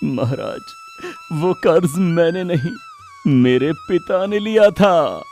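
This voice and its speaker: An actor playing a poor, pleading village father in an animated story, with drawn-out dramatic delivery. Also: mid-range voice. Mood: pleading, despairing. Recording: music behind the voice.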